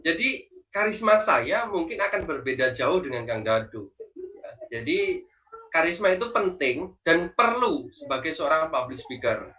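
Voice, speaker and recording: A man with a strong Javanese accent teaching public speaking, lively and persuasive, posing rhetorical questions. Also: on the high side, measured pace, far from the mic, muffled recording. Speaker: guest speaker and trainer. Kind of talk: online class teaching.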